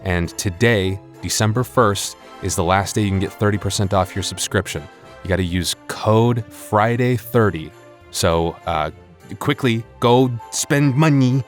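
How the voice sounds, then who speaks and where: A man's voice: fairly low pitch, measured pace. A man hosting his audio channel, chatting casually to listeners with wry, self-deprecating humor. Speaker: ASMR audio creator and channel host. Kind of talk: casual rambling outro chat to listeners. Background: music in the background.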